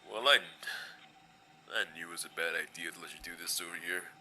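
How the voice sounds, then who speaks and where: A man in a fan audio drama playing a gentle, weary, laid-back male skeleton, speaking in a hesitant, casual, understated way. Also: low voice, fairly quick, slight background noise, low-quality recording. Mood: dry, bemused.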